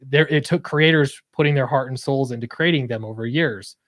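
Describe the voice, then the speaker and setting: A man's voice: medium pitch, fairly quick, fairly bright tone, dry recording. Agreeable man chatting on a panel. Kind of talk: casual conversational panel discussion.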